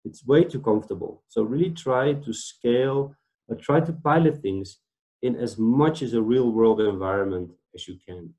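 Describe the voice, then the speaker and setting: A middle-aged Dutch man talks through his slides on a webinar call. His voice is mellow and relaxed, at an ordinary middle pitch. He explains things plainly and keeps checking in with "right?". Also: moderate pace. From Netherlands. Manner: explanatory, tag questions like "right?". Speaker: webinar speaker. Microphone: video call webcam.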